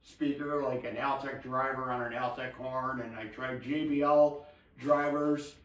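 An older man chatting casually about technical hobby matters as a co-host, explaining haltingly and repeating words. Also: mid-range voice, fairly even intonation. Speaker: co-host of an audio hobby show. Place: indoor room with table and blinds. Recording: roomy, echoey, distant mic.

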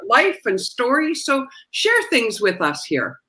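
A woman's voice: fairly high pitch, wildly swooping pitch, slow pace, bright, forceful tone, a little hiss or hum. An older woman hosting an interview over a video call, chatty and upbeat. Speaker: host. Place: home room, indoors. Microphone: webcam on video call.

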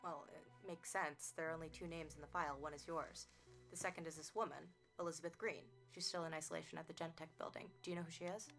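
An American actress playing an edgy, anxious young woman investigator in a video game scene, hushed and uneasy. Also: low-pitched, steady tone, quick pace, gravelly, faint music behind. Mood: hushed, intent. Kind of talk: naturalistic video game cutscene acting.

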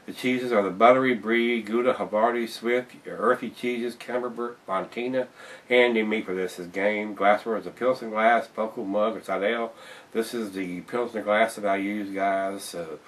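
A man's voice: fairly low pitch, steady tone, normal speed, recorded from a distance, rough recording. A middle-aged man hosting a casual beer review from a room at home, chatty and folksy, talking to viewers as guys. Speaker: beer reviewer, show host. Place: home room with glass shelves, indoors. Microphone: camera on tripod across the table.

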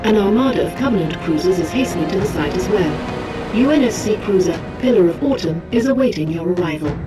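A woman's voice: fairly low pitch, animated, a little fast, close to an everyday voice. An actress voicing a composed female military AI in a video game, giving precise, matter-of-fact briefings. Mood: calm, informative. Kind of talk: video game cutscene acting. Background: game music.

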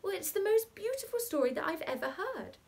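An eager young child played by a woman in a storybook reading, high in pitch. The child is blunt and curious, and presses questions insistently. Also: sing-song, brisk, a little mellow, full character voice. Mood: decided.

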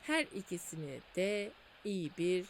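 A woman narrating a fairy tale in an expressive, sing-song storytelling manner. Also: fairly low pitch, slow, slight background noise.

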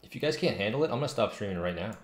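A man's voice: lowish voice, expressive, rapid-fire. A man in his thirties on a casual live-coding stream, muttering to himself in fragments as he thinks aloud. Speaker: game developer live streamer. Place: small home room, indoors. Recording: echoey room with loud keyboard clicking. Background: typing and mouse clicks.

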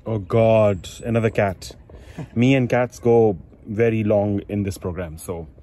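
A man's voice: lowish voice, steady tone, measured pace, warm tone, a little hiss or hum. A man asking curious, chatty questions as an interviewer outdoors. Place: outdoors, off camera.

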